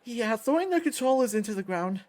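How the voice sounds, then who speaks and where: A woman reading a visual novel aloud as expressive first-person story narration. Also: fairly high pitch, brisk.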